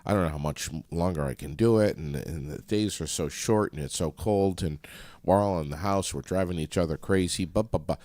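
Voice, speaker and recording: Stuffed-up deep-voiced radio host. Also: male voice, brisk, darkish tone, dry recording. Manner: loose and profane, joking. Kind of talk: casual radio chat.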